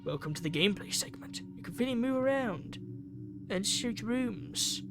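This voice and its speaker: A man's voice: high-pitched, lively intonation, moderate pace, background noise. A man hosting a gaming video, reading story text aloud dramatically with casual asides.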